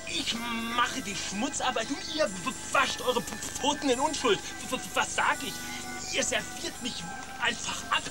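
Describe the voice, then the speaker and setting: A man's voice: extremely high pitch, expressive, unhurried, music in the background, low-quality recording. Stuttering, dim henchman rat. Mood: bitter, furious. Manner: heavy stutter on first sounds of words. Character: aggrieved male sewer rat henchman, dim and defiant. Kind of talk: children's radio play acting.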